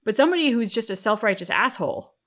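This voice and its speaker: A woman co-hosting a reaction show, talking casually and thoughtfully, with deliberate, emphatic phrasing. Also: on the high side, sing-song, fairly bright tone. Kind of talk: casual reflective discussion.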